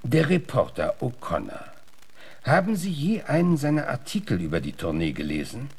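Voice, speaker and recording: An actor playing a gruff, plain-spoken middle-aged frontier marshal in a German radio drama, halting, folksy and awkwardly courteous, with a gravelly voice. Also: male voice, mid-range voice, sing-song, full character voice. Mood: reassuring, confiding. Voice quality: gravelly, husky.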